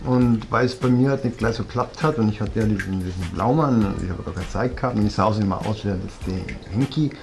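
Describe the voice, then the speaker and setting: Gravelly-voiced Bavarian man, blunt and rambling. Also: lowish voice, fairly quick, few pauses, background noise. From southern Germany. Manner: blunt, rambling, frequent fillers. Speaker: vlogger. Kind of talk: reflective personal storytelling. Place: living room, seated on a leather sofa. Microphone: fixed camera at close range.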